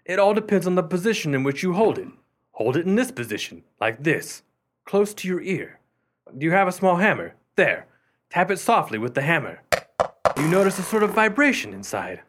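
Man acting as earnest gentleman, lightish animated voice. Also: high voice, moderate pace, heavily acted. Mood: puzzled. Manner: formal, earnest, dramatic phrasing. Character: earnest, imaginative gentleman drawn to the eerie. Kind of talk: radio mystery acting, period dialogue.

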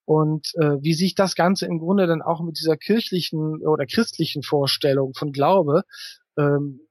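A man's voice: a little high, fairly even intonation, unhurried, darkish tone, no echo, clean studio sound. A man answering as an interview guest, a novelist who explains things at length in a thoughtful, hesitant, conversational way.

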